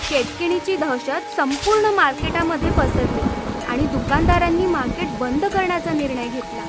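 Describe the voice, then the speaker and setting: A woman's voice: sits high, animated, moderate pace. A woman reading scripted horror story narration as the narrator. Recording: loud music under the voice.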